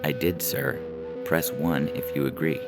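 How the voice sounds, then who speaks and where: A man playing an unflappable call-center agent in an audio story, speaking in a polite, deadpan, bureaucratic way. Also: very deep voice, a little slow, loud music behind the voice. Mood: officious.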